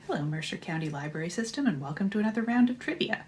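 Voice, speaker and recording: A woman's voice: medium pitch, lively intonation, very fast, a little mellow, a little hiss or hum. A woman speaking as an author, presenting a trivia question in a prepared talk to viewers.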